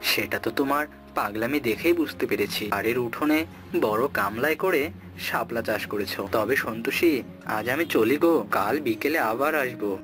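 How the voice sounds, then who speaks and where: A man's voice: medium pitch, moderate pace, close to an everyday voice. A man voicing a courteous, affectionate young prince in a cartoon. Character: gentle young prince in love. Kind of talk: cartoon character acting. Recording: clear music behind the voice.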